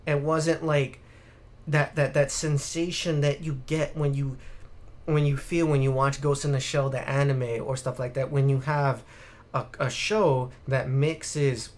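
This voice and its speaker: A man talks as a podcast host in a loose, opinionated chat style. He is blunt and swears freely, with a middling pitch and an exasperated edge whenever he gets worked up. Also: a little slow. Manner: blunt, profane, animated when exasperated.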